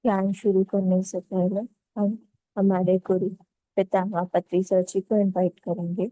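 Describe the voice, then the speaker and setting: A woman leading a guided meditation, giving calm, unhurried instructions in short phrases with pauses. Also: mid-range voice, dry recording, low-quality recording.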